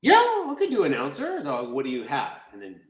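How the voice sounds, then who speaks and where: A man telling stories as a guest actor in a casual interview, rambling and energetic with many restarts. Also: medium pitch, a little fast. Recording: roomy echo.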